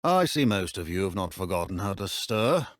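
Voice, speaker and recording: An actor playing a stern, dry-witted middle-aged British potions professor in a video game, speaking in a measured, exacting, deadpan manner. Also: male voice, low-pitched, no room sound. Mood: measured, instructive. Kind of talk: scripted game dialogue.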